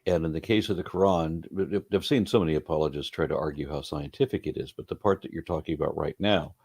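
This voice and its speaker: Male guest, low voice, dry sound, wry debater. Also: fast talker, a little mellow, husky, dry recording. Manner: wry, argumentative, talks in long explanatory runs. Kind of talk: casual video interview.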